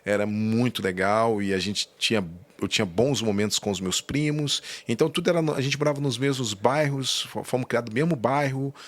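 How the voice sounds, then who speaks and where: A man's voice: mid-range voice, fairly quick, a bit bright. A man, a podcast guest telling personal stories conversationally, often checking in with tag questions. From Brazil, suburbs of Rio de Janeiro. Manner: frequent tag questions seeking agreement.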